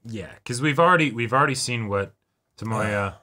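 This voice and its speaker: A man's voice: medium pitch, lively intonation, normal speed, pushed and bright. A man co-hosting a reaction show in casual, conversational discussion.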